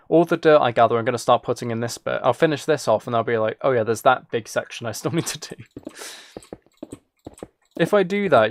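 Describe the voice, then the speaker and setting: A man chatting casually while he plays a game, thinking aloud in a rambling, playfully self-mocking way. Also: mid-range voice. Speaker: streamer.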